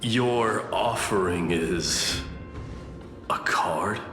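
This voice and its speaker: A man's voice: lowish voice, extremely slow, bright, forceful tone, full character voice. A man playing a haughty, taunting male rival in a video game, amused and challenging. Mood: mocking. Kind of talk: English video game voice acting. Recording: echoey hall reverb, faint music behind.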